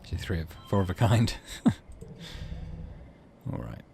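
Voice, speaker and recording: Lively low-voiced man narrating a game. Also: a little slow, vocal fry, slight background noise. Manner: expressive storybook-like reading, muttering to himself between passages. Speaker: gaming channel host. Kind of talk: let's play, reading game text aloud with casual asides.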